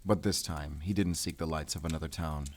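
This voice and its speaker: Grim, determined werewolf hunter, low-pitched, brooding and terse, measured audio drama delivery. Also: male voice, steady tone, darkish tone, slight background noise. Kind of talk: cinematic audio drama acting.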